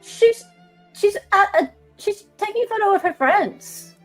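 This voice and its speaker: A woman hosting casual commentary in an animated, blunt way. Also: very high voice, measured pace, no echo, soft background music. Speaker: host.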